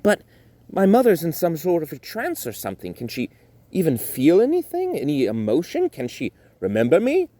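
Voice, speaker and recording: Man putting on a young turtle boy, anxious, high, storybook. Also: wildly swooping pitch, normal speed, mellow, no room sound. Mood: worried.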